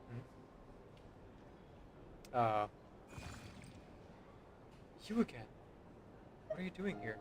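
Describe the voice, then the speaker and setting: A man's voice: mid-range voice, very animated, very slow, long pauses, noisy background. A man commenting on a video game playthrough in a musing, conversational way. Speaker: game streamer. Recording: loud game music behind voice. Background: loud game music.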